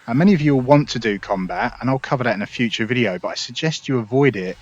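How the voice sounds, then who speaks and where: A man's voice: mid-range voice, lively intonation, fast talker, no room sound, lo-fi sound. A man hosting a casual gameplay tutorial, explaining things in a chatty, upbeat way. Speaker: gaming video host.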